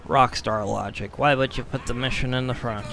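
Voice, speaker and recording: Hoarse man grumbling through gameplay. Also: medium pitch, fairly even intonation, moderate pace, a bit bright. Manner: casual, grumbling, wry asides. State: hoarse, losing his voice. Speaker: gaming streamer. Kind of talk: live gameplay commentary.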